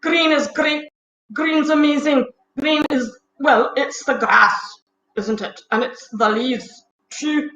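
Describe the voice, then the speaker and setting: A woman doing a gentle, kindly frog. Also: sits high, fairly even intonation, takes it slowly, roomy sound, dull audio, full character voice. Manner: soothing, kindly.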